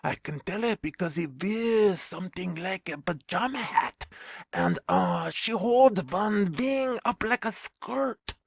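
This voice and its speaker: A narrator voicing an excitable, pompous German professor in a comic audiobook, dramatic and exclaiming, often hushed. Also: medium pitch, takes it slowly, slightly rough, no room sound, lo-fi sound, pitched above the performer's own voice, heavily acted. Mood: alarmed whispering. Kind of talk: comic audiobook character acting.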